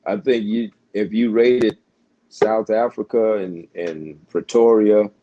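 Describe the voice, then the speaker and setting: An American man, a pastor, talking in a relaxed interview with frequent fillers and restarts. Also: on the low side, muffled recording. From United States. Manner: halting, many restarts and fillers. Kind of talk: casual interview conversation.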